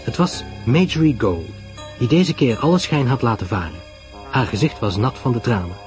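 A man's voice: mid-range voice, expressive, normal speed, darkish tone, faint music behind. A man narrating a mystery story in a dramatic, scripted reading style.

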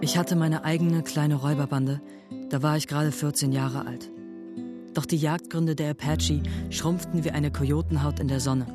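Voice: medium pitch, fairly even intonation, normal speed, natural voice. A performer playing an adventurous Apache raider who vividly recounts a youth of raids in a German radio drama. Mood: proud, reminiscing. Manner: reminiscing, vivid. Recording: loud guitar music behind.

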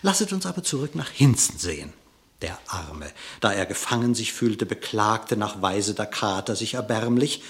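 A man narrating a verse animal fable for radio, declaiming in an expressive, theatrical way. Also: fairly low pitch, normal speed.

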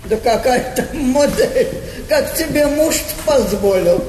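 A commanding, sceptical princess in a period stage comedy. She speaks slowly and curtly, with blunt disapproval. Her voice echoes in a theatre hall. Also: high voice, lively intonation, dark tone, a little hiss or hum. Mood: scornful disbelief.

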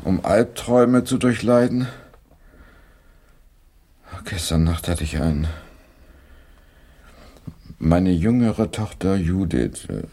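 An actor playing a weary, grumbling middle-aged English playwright in a German radio play, hesitant and complaining. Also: male voice, deep voice, expressive, dark tone, heavily acted. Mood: complaining, sleepless. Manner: slow, hesitant, complaining, dramatic.

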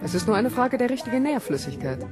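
An actress playing a confident, matter-of-fact woman scientist with a brisk, assured manner in a 1970s German radio drama. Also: fairly high pitch, very animated. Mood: proud. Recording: loud music under dialogue.

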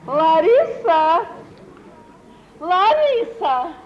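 Obsequious middle-aged mother, high voice, effusively polite, stagey 1940s radio drama, muffled old recording. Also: big pitch swings, drawn-out words, exaggerated voice. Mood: excited, cajoling. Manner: effusive, deferential, exaggerated politeness. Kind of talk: 1940s theatrical radio acting. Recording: dull, noisy vintage radio play recording.

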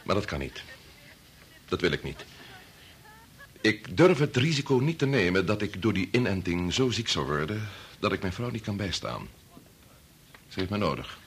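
Radio play: conscience-torn middle-aged scientist and father, deliberate and solemn, somewhat rough-edged voice with expressive swings. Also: deep voice, heavily acted. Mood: troubled, firm. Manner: deliberate, hesitant, formal. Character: grave, conscience-torn male chief bacteriologist, father and husband. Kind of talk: Dutch radio play acting.

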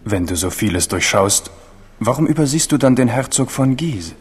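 A man playing a wry, guarded young captive king in a radio play, speaking drily and tersely with a husky voice. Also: mid-range voice, expressive, normal speed. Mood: wry, wary.

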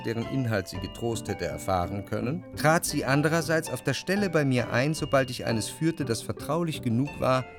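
An actor playing a jealous, introspective lover who narrates in the first person in a measured, deliberate way, in a literary radio drama. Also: male voice, medium pitch, close to an everyday voice. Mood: wry, reflective. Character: jealous, brooding male lover recounting his obsessions. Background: music.

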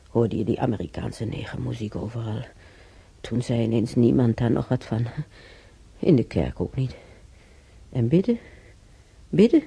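An actress playing a regretful old mother in a radio drama, halting and brooding, with a husky, creaky voice. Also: extremely low pitch, dark tone, exaggerated voice. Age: older. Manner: halting, brooding, repeating herself. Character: regretful old mother remembering beating her stubborn son.

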